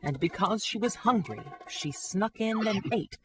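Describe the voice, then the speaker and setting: A woman narrating a rhyming children's story with an expressive, scripted delivery. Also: medium pitch, a little slow, faint music behind.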